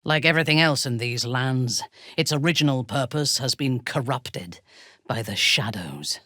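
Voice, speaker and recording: An actress playing a cold, haughty female drow paladin in a video game, commanding and deliberate, with a husky, breathy voice. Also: very deep voice, expressive, sharp tone, dry recording, clean studio sound, exaggerated voice. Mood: measured. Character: menacing, imperious female drow paladin warrior, devout and ruthless. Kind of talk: video game character acting.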